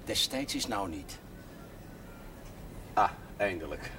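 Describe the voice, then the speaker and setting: An actor playing a hearty, sporty village priest, jovial and quick to joke, in a 1970s TV drama. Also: male voice, fairly low pitch, sing-song, noisy background. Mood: friendly. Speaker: parish priest.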